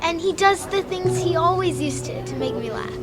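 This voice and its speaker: A troubled young girl in an audio drama, with a high voice and a halting, confiding way of speaking. Music plays clearly behind the voice. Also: lively intonation. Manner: hesitant, halting, with many trailing pauses. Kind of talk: full-cast audio drama acting.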